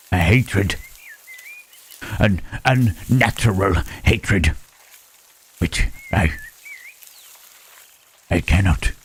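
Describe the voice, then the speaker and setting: A man voicing a gentle, self-loathing Frankenstein's monster in an ASMR roleplay, speaking in halting, formal phrases with a husky, rough voice. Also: deep voice, full character voice. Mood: tormented self-loathing. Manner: halting, formal phrasing with searching tag questions. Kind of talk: slow ASMR roleplay monologue.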